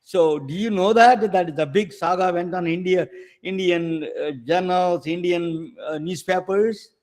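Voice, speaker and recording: Male host, high pitch, halting questions. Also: a little mellow. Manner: halting, repeats and restarts words. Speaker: webcast host. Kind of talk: casual interview conversation.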